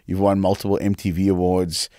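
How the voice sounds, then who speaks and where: A man with a South African accent hosts a podcast interview in a conversational way. His voice sits on the low side, and the close studio recording is dry. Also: level tone, a little fast, mellow, no room sound. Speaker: podcast host. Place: small indoor podcast studio. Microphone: close studio microphone on arm.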